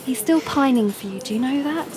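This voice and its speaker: A woman's voice: high voice, animated, fairly quick, a crowd in the background. An actress playing an anxious, well-meaning young woman in an audio drama, speaking in an earnest, hesitant way. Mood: earnest, pleading. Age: young adult. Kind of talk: audio drama monologue and dialogue.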